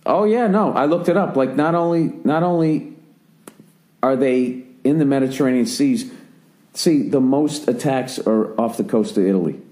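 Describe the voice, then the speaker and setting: A man's voice: medium pitch, expressive, normal speed, mellow. A man in his forties with an American accent, ranting and telling stories as a podcast host in casual chat. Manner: rambling, profane, ranting storyteller. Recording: roomy, echoey recording.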